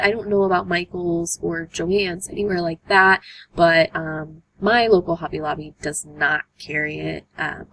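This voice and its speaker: A young woman with a hoarse voice from a cold, hosting a casual art tutorial voice-over with lots of "um" fillers. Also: fairly low pitch, slight background noise, low-quality recording. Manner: chatty, many "um" fillers. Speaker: art journaling tutorial host.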